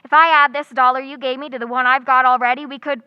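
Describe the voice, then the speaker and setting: A woman's voice: high-pitched, little pitch movement, quick pace, smooth, no echo, dull audio, close to an everyday voice. A woman playing a wry, lovelorn, wisecracking schoolteacher in an old-time radio comedy. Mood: sardonic. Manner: dry wisecracking delivery. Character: wry, quick-witted single schoolteacher woman, lovelorn and sardonic. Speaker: lead actress.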